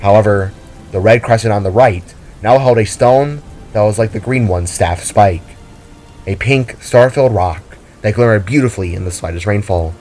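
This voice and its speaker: A man's voice: on the low side, moderate pace, a little mellow. A man reading scripted, dramatic story narration. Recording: music and rain under the voice. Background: music, rain and thunder.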